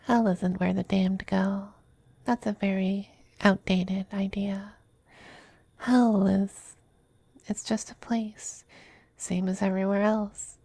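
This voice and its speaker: A woman playing a tender, possessive male demon femboy in an intimate ASMR roleplay, soft and breathy, often whispering, with a hesitant, coaxing manner. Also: medium pitch, little pitch movement, dry recording. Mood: tender, calm. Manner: slow, hesitant, gently coaxing.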